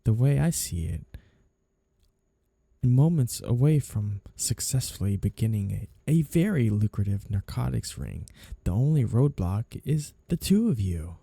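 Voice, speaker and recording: A man acts out a mafia boss for an intimate audio roleplay, with a very dark, mellow voice that crackles with fry. He is flirty and two-faced, lingering slowly over his words. Every courtesy is smooth and teasing, and carries a possessive, lovesick menace. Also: fairly low pitch, very animated, dry recording, heavily acted. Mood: calmly menacing. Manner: smooth-talking, teasing, theatrically polite while threatening. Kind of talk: audio roleplay ASMR acting.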